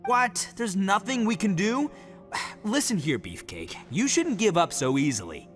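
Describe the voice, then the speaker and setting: Brash oni guy, high and animated. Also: measured pace, soft background music, full character voice. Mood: boisterous, casual. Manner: boisterous, slangy, emphatic. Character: brash, loud, good-hearted young oni gang leader. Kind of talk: anime game dub acting.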